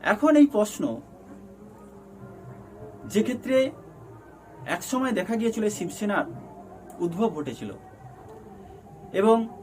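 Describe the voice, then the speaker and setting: A man with a Bengali accent presenting a news explainer in halting, emphatic phrases. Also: high voice, very animated. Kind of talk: scripted news explainer narration. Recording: noticeable background noise.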